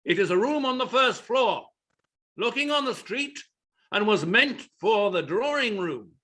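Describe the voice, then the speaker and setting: An actor playing a pompous, exacting playwright who narrates stage directions in a deliberate, declamatory way. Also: male voice, high voice, lively intonation, pushed and bright, exaggerated voice. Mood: measured, precise. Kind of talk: theatrical reading of stage directions.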